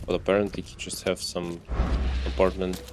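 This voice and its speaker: A man's voice: low-pitched, monotone, normal speed, very noisy. A young man from Belarus with a Russian accent, casually commenting on a game as he plays and musing aloud about what he finds. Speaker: streamer.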